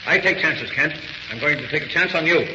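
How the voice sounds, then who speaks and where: A man performs a brusque, excitable newspaper boss from golden-age radio. His voice sits fairly high and he blusters with gruff impatience. The old recording is muffled and reverberant, with clear noise throughout. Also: a little fast, natural voice. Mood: grudging. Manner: gruff, impatient, blunt, exclamatory. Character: brusque, excitable, blustering male big-city newspaper editor. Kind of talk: 1940s radio drama acting.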